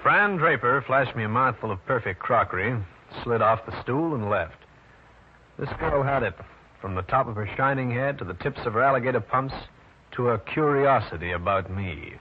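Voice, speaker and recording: An actor with an American accent playing a wry, tough private detective in an old-time radio drama, wisecracking and hard-boiled. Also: male voice, medium pitch, expressive, moderate pace, lightly acted. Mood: wry, narrating. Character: wry tough New York private detective, adult man. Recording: dull, noisy old radio recording.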